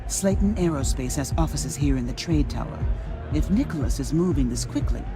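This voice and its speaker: An actor playing a male ally who gives a brisk, informative briefing. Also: on the high side, lively intonation, over music, close to an everyday voice.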